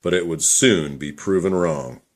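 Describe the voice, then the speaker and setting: A man's voice: deep voice, unhurried. A man reading scripted storybook narration for a puppet show.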